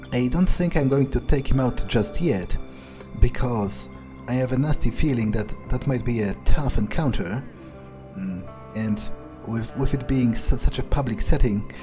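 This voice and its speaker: A man narrating a video game playthrough in character, thinking aloud in a hesitant, understated way. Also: medium pitch. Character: calculating, detached vampire mercenary for hire. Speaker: player voicing their character in a let's play.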